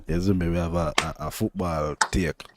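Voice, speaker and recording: A man's voice: low-pitched, steady tone, moderate pace, heavy background noise. A young man with a Jamaican accent co-hosting a podcast, talking football in a laid-back, rambling way. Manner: laid-back, rambling, loose patois phrasing. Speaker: podcast co-host. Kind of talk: casual football chat.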